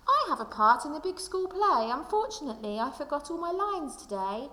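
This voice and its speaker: A woman with a fairly high voice reads a picture book aloud in a very echoey room with a long reverberant tail. She speaks like a primary school teacher, shaping each phrase expressively and deliberately for young listeners. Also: very animated, bright, forceful tone.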